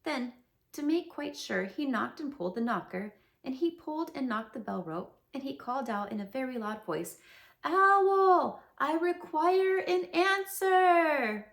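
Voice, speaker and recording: A woman reads a children's storybook aloud in a lively, sing-song way, her pitch swooping widely. Her voice sits fairly high with a slight gravelly fry. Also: moderate pace. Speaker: read-aloud narrator.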